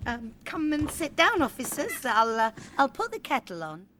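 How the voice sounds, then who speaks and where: Comedy radio play: loyal, indignant London mum played by a woman, very animated pitch. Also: normal speed, slight background noise, full character voice. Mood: flustered. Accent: London English.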